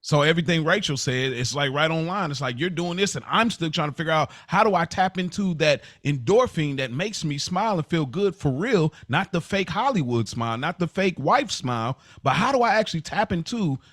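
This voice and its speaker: A man's voice: a little high, expressive, fairly quick, hardly any pauses, fairly bright tone, no room sound, studio quality. An American man on a podcast panel, talking casually and reflectively, repeating phrases for emphasis.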